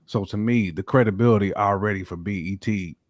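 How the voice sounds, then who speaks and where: A man's voice: on the low side, a little fast. A man giving emphatic opinions in a casual debate, repeating his points. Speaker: panelist on a hip hop discussion show.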